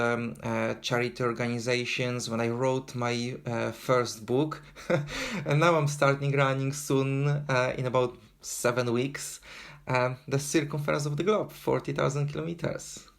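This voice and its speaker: A man with a Polish accent talks earnestly as an interview guest. His pitch sits in an ordinary middle range. He often pauses on fillers and restarts his sentences. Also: fairly even intonation, vocal fry. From Poland. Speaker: guest, endurance runner. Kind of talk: casual interview talk.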